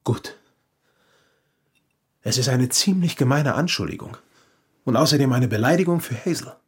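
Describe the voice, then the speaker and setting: Uneasy friend with a guilty secret, radio crime drama. Husky, measured, halting delivery. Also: male voice, medium pitch, expressive. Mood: sombre. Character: uneasy man confessing an affair to his friend.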